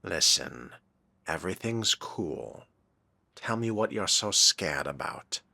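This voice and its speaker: A man's voice: low voice, expressive, slow pace, vocal fry. A man role-playing in character through a video game, muttering dry, sardonic commentary to himself. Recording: loud music behind the voice.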